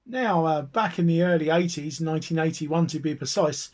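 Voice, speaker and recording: A man's voice: on the high side, normal speed, no room sound. A middle-aged British man narrating an informal product history as a chatty hobbyist, with hesitant phrasing. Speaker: hobbyist video host.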